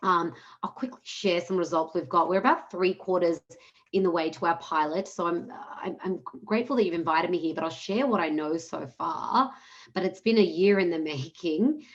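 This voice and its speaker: A woman, a native Punjabi speaker raised in Australia, presenting her program in an online talk, earnest and fast-flowing with frequent self-corrections. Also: lowish voice, highs cut off. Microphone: video call.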